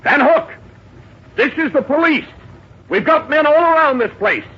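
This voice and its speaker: An actor playing a blunt, excitable, tough American police officer in a 1950s radio drama. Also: male voice, very high-pitched, normal speed. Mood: shouting commands. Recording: muffled, very noisy 1950s radio recording.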